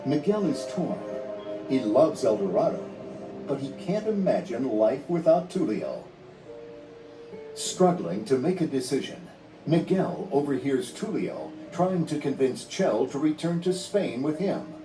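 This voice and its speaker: A man narrating a scripted storybook read-along in a measured, dramatic storytelling manner. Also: medium pitch, sing-song, roomy sound, distant mic, over music, low-quality recording.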